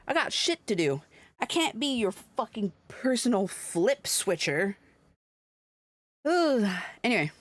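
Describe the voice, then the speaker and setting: A woman's voice: a little high, big pitch swings, slow, dry recording. Blunt woman livestreamer, close dry sound. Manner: irreverent, sweary, blunt. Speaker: video game livestreamer. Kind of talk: casual livestream chat mixed with reading game dialogue aloud.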